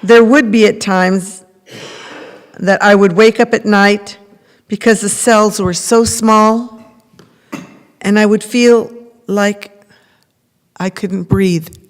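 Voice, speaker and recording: A middle-aged woman reads a first-person testimony very slowly. A long hall reverb surrounds her voice. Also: medium pitch, steady tone. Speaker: reader in a readers theater.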